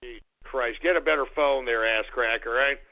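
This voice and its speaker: A man hosting a call-in radio show, ranting, shouting and swearing at callers while losing his voice. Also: medium pitch, fairly quick, over loud music. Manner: shouting, profane, abrasive. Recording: phone-like narrow sound.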